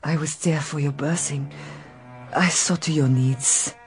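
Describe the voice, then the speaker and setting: An actress playing a loyal, devoted robot maid who speaks in a measured, formal way in a radio drama. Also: female voice, low voice, fairly even intonation, faint music behind. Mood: earnest.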